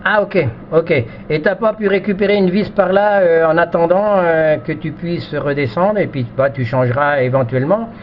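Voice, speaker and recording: A man's voice: on the high side, normal speed, hardly any pauses. A man in a casual technical chat as an amateur radio operator, often repeating himself and hesitating as he explains. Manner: repetitive, hesitant phrasing. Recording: muffled band-limited radio audio, faint noise.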